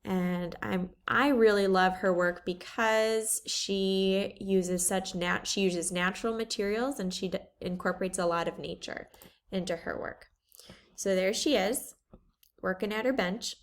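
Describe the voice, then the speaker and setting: A woman art teacher walks her class through a screen-share lesson at an unhurried pace. She talks casually, thinking aloud and filling pauses with ums. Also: medium pitch. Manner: relaxed, thinking aloud with many fillers.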